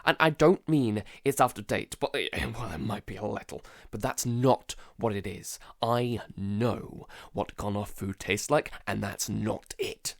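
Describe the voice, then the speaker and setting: A man playing a paranoid, isolated man in an audio drama, halting and stammering, often sighing and dropping into whispers. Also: lowish voice, creaky, no echo, clean studio sound. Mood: distracted, uneasy. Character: paranoid isolated man unravelling under a creeping unseen menace. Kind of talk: audio drama monologue, fragmented confessional.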